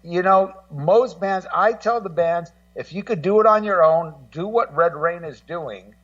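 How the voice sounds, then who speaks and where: A middle-aged American man talking casually as a guest co-host in a radio discussion, in a rambling, halting way full of "you know". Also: high-pitched, a bit bright, far from the mic, highs cut off. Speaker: guest co-host and rock music journalist.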